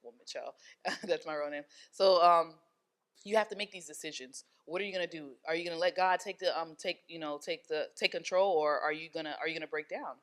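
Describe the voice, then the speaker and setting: Young woman from haiti, low voice, chatty and rambling, um and you know a lot. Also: fairly quick. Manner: rambling, many fillers and restarts. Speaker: hair studio owner, guest. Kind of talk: casual interview talk.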